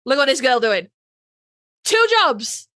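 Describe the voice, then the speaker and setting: A woman with a highish voice, recorded very dry. She chats casually as a podcast co-host, slangy and freely sweary. She tells stories by acting out bits of conversation. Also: slow, no room sound. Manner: sweary, slangy, animated storytelling with quoted exchanges.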